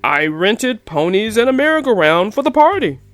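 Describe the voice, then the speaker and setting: A woman's voice: very animated, normal speed, no echo, soft background music, natural voice. Woman doing a hearty, jolly papa bear. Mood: cheerful. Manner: hearty, booming, lower-voiced. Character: jolly big papa bear, easygoing and generous.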